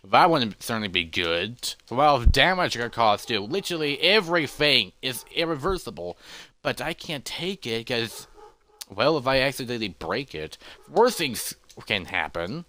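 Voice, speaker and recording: A man's voice: mid-range voice, sing-song, normal speed, sharp tone. A man voicing an anxious, easily distracted elephant adventurer who mutters to himself with repeats and hesitations. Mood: stressed, fretful. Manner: fretful self-talk with repeats and hesitations.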